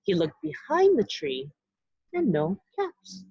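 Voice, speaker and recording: Animated woman reading a children's storybook. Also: high voice, slow pace, vocal fry, no room sound, highs cut off. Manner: animated, asks listeners simple questions and adds asides. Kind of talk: expressive read-aloud storytelling for young children.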